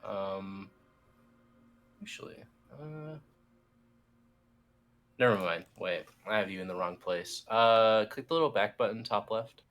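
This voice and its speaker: A man in a team lead role giving casual, informal step-by-step software instructions, feeling his way as he goes. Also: lowish voice, lively intonation, slow, long pauses. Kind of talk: casual step-by-step screen tutorial.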